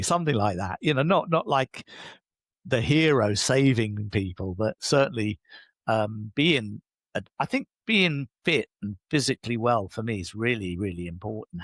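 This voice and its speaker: A man's voice: normal speed, dry recording. A man, an enthusiastic interview guest who speaks casually and keeps affirming with "yeah". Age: 60s. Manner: enthusiastic, animated pitch jumps, frequent affirming "yeah". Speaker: guest, leadership coach.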